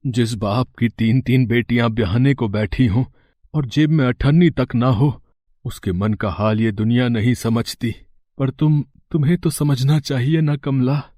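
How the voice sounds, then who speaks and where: A man's voice: mid-range voice, measured pace, mellow, dry recording, clean studio sound, natural voice. A man playing a poor middle-aged village father, anxious, hesitant and deferential, in a moral story drama. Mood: despondent.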